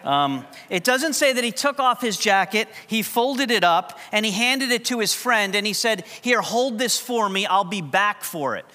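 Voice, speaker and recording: High bright-voiced New preacher. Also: male voice, expressive, moderate pace, hardly any pauses. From New York. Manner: conversational, humorous storytelling. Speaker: pastor. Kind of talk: sermon. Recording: long hall reverb.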